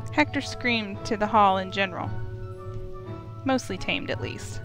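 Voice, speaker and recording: A woman reading scripted story narration aloud from a visual novel, as a let's player. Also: fairly high pitch. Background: game music.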